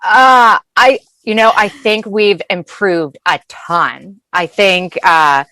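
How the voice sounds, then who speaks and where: A woman's voice: mid-range voice, slow, bright, forceful tone, no room sound. An American woman from Massachusetts chatting casually as a podcast guest. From Massachusetts, United States. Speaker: podcast guest, food blogger. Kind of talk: casual chat. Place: closet.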